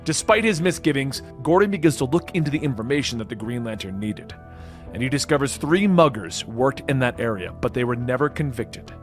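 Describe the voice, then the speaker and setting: A man's voice: medium pitch, animated, fairly quick. A man reading a comic book story as narrator, in a dramatic scripted style. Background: background music.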